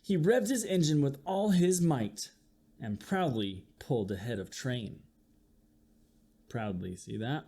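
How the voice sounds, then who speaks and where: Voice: mid-range voice, slightly rough. A teacher reading a picture book aloud to young listeners, expressively and with chatty asides. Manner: slow, expressive, with acted-out sound effects. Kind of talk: children's story read-aloud with asides to listeners.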